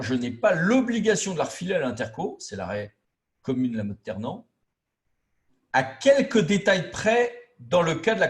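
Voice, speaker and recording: Man lecturing in a colloquial, emphatic way. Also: fairly high pitch. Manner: colloquial and didactic, acting out imagined quotes. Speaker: trainer lecturing local officials on intermunicipal law. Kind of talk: informal training lecture.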